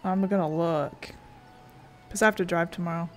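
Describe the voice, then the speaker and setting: A woman's voice: lowish voice, steady tone, normal speed, faint music behind. A woman streaming a story game, giving casual, rambling and playful commentary as she plays.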